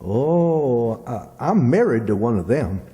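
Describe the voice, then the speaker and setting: Man playing Abner, a slow-drawling henpecked country fellow. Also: medium pitch, very animated, mellow, a little hiss or hum. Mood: puzzled. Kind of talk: old-time radio comedy acting. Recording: reverberant hall stage performance.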